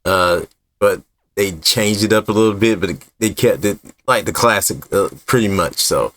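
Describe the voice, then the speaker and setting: Low-pitched man doing casual game commentary. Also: normal speed, fairly bright tone. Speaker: gaming channel host.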